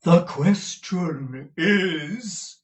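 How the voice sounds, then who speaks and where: Man doing a raised, odd-toned dotty old gent in a storybook; slow, florid, gallant. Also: fairly high pitch, voice pushed up. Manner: flowery, theatrically gallant. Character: eccentric old gentleman, courtly and addled.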